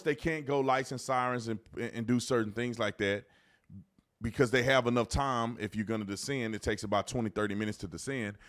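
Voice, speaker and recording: A man's voice: medium pitch, fairly bright tone. An American man hosting an informal, emphatic commentary, repeating phrases to drive his points home. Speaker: host commentator, former police officer.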